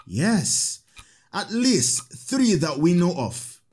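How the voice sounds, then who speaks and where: A kind scholarly priest in an audio drama, slow patient teacher, really expressive pitch. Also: male voice, husky, exaggerated voice. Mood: gently instructive. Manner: patient, explanatory, measured.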